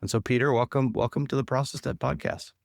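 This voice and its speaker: A man's voice: mid-range voice, steady tone, fast, creaky, no room sound, clean studio sound. A man hosting a podcast, upbeat and friendly, often restarting his sentences as he introduces a guest. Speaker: podcast host. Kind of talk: casual interview chat.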